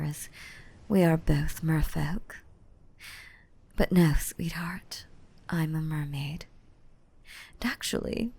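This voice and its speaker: Casting: gentle nurturing mermaid for ASMR roleplay, female actor, slow and soothing, lowish whispery voice. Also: exaggerated voice. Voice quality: breathy, whispery. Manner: soothing, doting, uses pet names. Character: gentle, nurturing mermaid rescuing a drowning human. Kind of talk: roleplay ASMR audio drama.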